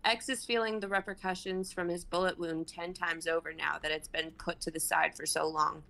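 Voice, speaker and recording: A woman in her twenties narrates a script in a bright, forceful voice. Her pitch sits a little low as she reads out scene directions dramatically. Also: steady tone, moderate pace, vocal fry, rough recording. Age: 20s. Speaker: narrator reading stage directions. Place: home room on a group video call.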